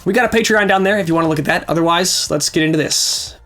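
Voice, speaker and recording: A man's voice: fairly high pitch, quick pace, sharp tone, faint music behind. A man with a scratchy voice from a cold, hosting a casual reaction chat and recapping plot at length. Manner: chatty, explains plot at length. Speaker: reaction show host.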